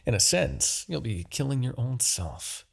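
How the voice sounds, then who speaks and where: A man playing a smug, menacing demon king, obsessed with his rival, in a theatrical, deliberate fantasy roleplay monologue with a husky, gravelly voice. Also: mid-range voice, sing-song, heavily acted. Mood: gloating, menacing. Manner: theatrical, gloating, deliberate, grandiose.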